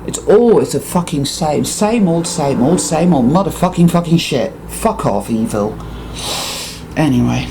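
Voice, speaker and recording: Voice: medium pitch, lively intonation, a little slow, gravelly, noisy background. A speaker with a Dutch accent, a native Dutch speaker, gives an intense, profane, emphatic monologue to viewers, repeating words for emphasis. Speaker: spiritual vlogger.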